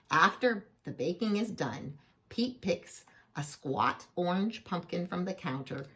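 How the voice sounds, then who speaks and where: A woman tells a picture-book story to children at an unhurried pace. Her voice is husky and swings widely in pitch, and she is playful, often breaking off for chatty asides. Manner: playful, expressive, asks the listener questions.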